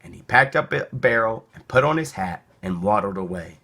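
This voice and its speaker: A man reading a children's story aloud in a theatrical, deliberate narrating style. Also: fairly low pitch, animated, creaky, pushed and bright. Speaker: storybook reader.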